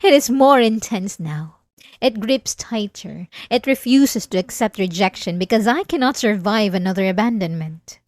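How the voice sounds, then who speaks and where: A woman playing an obsessive, possessive, centuries-old vampire in an ASMR roleplay, speaking intimately and deliberately with dramatic pauses. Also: medium pitch, expressive, a little mellow, no room sound, natural voice. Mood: possessive, threatening.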